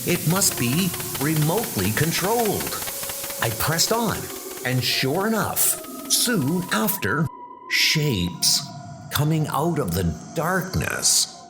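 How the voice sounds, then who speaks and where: A man's voice: a little high, sing-song, takes it slowly, noisy background, exaggerated voice. A man playing a wounded knight who gasps out an alarmed survivor's account in a breathless comic-book audio drama style. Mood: startled. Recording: music under the voice.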